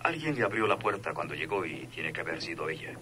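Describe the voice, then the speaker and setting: Cautious yes-boss henchman, super deep voice, bit of radio hiss. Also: big pitch swings, quick pace, sharp tone, exaggerated voice. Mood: deferential, cautious. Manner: deferential. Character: obedient, cautious male gangster henchman. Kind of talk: radionovela acting.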